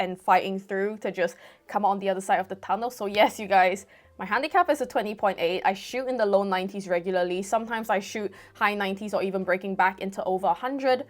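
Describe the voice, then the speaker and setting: A woman in her twenties with a Singaporean accent tinged with British, chatting casually to camera and rambling as she answers viewer questions. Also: mid-range voice. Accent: Singaporean with a light British mix. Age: 20s. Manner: chatty, rambling, self-correcting. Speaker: golf YouTuber. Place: room at home with football shirts on the wall.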